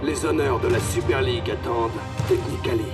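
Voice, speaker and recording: A man puts on a grandiose trailer announcer for a cartoon. He is solemn and menacing, at an average male pitch, with clear music underneath. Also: brisk, lightly acted. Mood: ominous, grandiose. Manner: solemn, dramatic.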